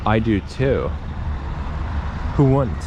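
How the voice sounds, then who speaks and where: A man's voice: on the low side, big pitch swings, takes it slowly, highs cut off. Chatty man vlogging from a bike. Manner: chatty, opinionated, jokey asides. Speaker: travel vlogger. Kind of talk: casual travel vlog narration. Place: outdoors in a city park, riding a bike. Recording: outdoor street and traffic noise.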